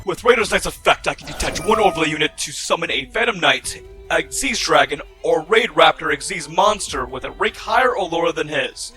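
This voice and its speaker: Male actor as righteous, fierce card-duel rival, high and animated, theatrical fan roleplay, music behind. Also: brisk, lightly acted. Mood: calculating, assured. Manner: theatrical, grandiose declarations. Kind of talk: fan roleplay anime-style duel acting.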